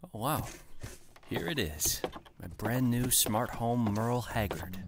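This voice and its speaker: An upbeat everyday homeowner, casual and easily pleased, with a lively delivery. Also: male voice, mid-range voice, background noise. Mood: cheerful. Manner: casual and cheerful, quick to laugh. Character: easygoing male office worker, upbeat, a new gadget owner. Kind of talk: comic radio play.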